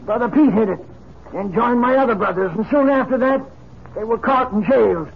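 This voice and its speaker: An actor playing a folksy, dogged old ex-outlaw in a 1950s radio western, with a creaky old-timer's voice. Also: male voice, extremely high pitch, fairly quick, crowd noise. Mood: storytelling. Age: older. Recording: dull, noisy 1950s radio recording.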